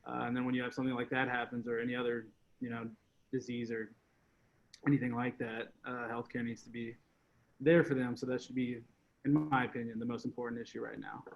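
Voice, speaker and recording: A man's voice: medium pitch, flat delivery, brisk, vocal fry. A man in his twenties on a video call, talking casually with lots of fillers. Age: 20s. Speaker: focus group participant. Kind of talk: casual discussion. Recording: muffled video-call audio.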